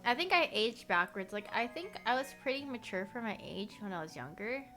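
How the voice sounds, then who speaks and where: A woman's voice: fairly high pitch, very animated, fairly quick, bright, forceful tone, soft background music. A young woman whose first language is Korean, livestreaming casual chat with viewers. She rambles, fills her talk with "like," and laughs often.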